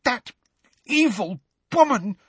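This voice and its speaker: A man voicing an earnest, emotional teenage schoolgirl witch in an audiobook, speaking with breathy emphasis. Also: very high voice, sing-song, slow, dry recording, voice pushed up, full character voice. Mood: outraged.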